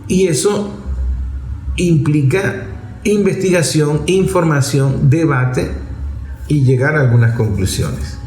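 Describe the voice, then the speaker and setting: A man's voice: on the high side, takes it slowly, darkish tone, a little hiss or hum. A man giving a political commentary monologue in an emphatic, didactic way, repeating phrases for effect. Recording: echoey room with music underneath. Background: music.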